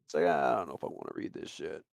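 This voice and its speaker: A man's voice: medium pitch, very animated, fast, creaky, no echo. A man hosting a casual podcast, talking in a rambling way and circling back over his phrases. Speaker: podcast host.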